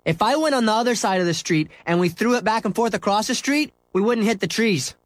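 A man voicing a bossy, shrill young boy. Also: brisk, no echo, lightly acted. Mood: eager. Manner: shrill, demanding, accusing.

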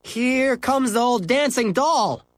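A storybook narrator voicing a defiant, exasperated grade-school boy who protests and yells back. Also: mid-range voice, animated, takes it slowly, no room sound, studio quality, pitched above the performer's own voice. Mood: annoyed yelling. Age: child. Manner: desperate, yelling protests and retorts. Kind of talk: children's storybook character voice.

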